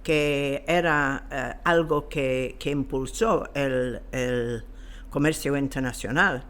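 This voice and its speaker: A man giving an informal historical explanation as an interview guest, halting and searching for his words. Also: mid-range voice, fairly bright tone, clean tone.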